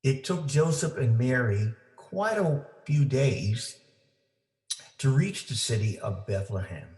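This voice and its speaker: A man reading a children's story aloud for families, with deliberate, simple phrasing for young listeners. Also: mid-range voice, lively intonation, a little mellow. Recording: roomy, echoing indoor sound.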